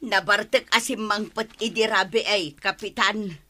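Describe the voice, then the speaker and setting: A woman's voice: mid-range voice, steady tone, normal speed, sharp tone. An actress with an Ilocano accent playing a plaintive, aggrieved village woman in a radio comedy drama.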